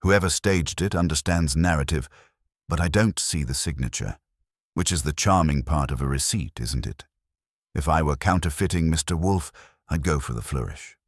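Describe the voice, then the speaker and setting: Man reading as a smug, deep-voiced suspect. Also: normal speed, breathy edge, no echo, clean studio sound, exaggerated voice. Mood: smug needling. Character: smug, theatrical male suspect, slyly needling.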